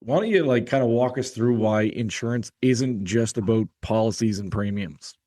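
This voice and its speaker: A man's voice: lowish voice, normal speed, dark tone. A man hosting a podcast and asking loose, filler-heavy questions. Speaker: podcast host. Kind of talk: interview questions.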